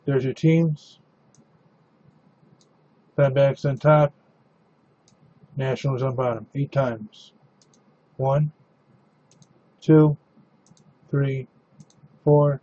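A man hosting a live trading card break, announcing cards and teams in a steady, matter-of-fact way. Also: medium pitch, very slow, long pauses, muffled recording.

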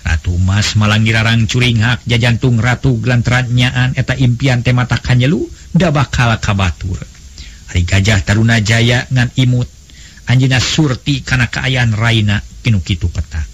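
A man with a Sundanese accent narrating a folk tale in a husky voice, as a dramatized storyteller. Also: mid-range voice, moderate pace.

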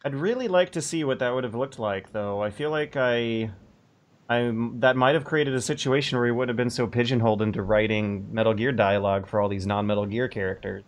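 A man's voice: mid-range voice, brisk. A man hosting a casual livestream podcast from a home room over a video call, musing aloud with hesitant restarts. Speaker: podcast host.

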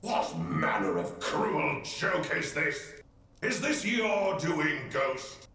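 An actor playing a haughty, wrathful undead knight lord in a video game, pompous and archaic, with an echoing spirit effect. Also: male voice, on the high side, wildly swooping pitch, extremely slow, bright, forceful tone, exaggerated voice. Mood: suspicious, outraged. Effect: echoing spirit reverb. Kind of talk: video game character acting. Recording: strong echo, distant sound.

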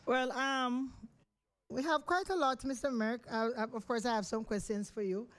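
A woman's voice: a little high, fairly even intonation, normal speed, fairly bright tone. A middle-aged woman with a Jamaican accent hosting a live radio talk show from a studio, talking in a rambling, chatty way with many fillers. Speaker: radio host. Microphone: studio microphone.

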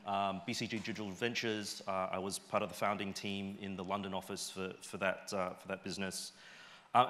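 A man's voice: fairly low pitch, a bit bright. A middle-aged man with an Australian accent giving an informal conference talk in a conversational way, often checking in with short tag questions. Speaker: conference speaker. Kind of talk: informal stage presentation. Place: large auditorium stage, indoors.

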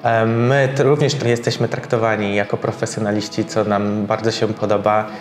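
A man in his thirties, a salon co-owner, speaking in a measured, slightly formal way with small filler pauses in a sponsored interview. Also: fairly low pitch, level tone. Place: bright hair salon, indoors. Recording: roomy salon with soft background music.

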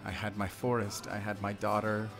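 A tender, hesitant lovestruck father, low-voiced. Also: flat delivery, normal speed, creaky, over music. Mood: wistful. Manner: hesitant, confessional. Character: lovestruck divorced forest-dwelling father, tender and vulnerable. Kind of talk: intimate audio roleplay acting.

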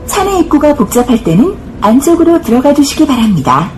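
Lady doing bus stop announcements, really high lively pitch, formal and careful, muffled recording w some bus noise. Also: fairly quick. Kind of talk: scripted transit announcement. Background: bus interior ambience.

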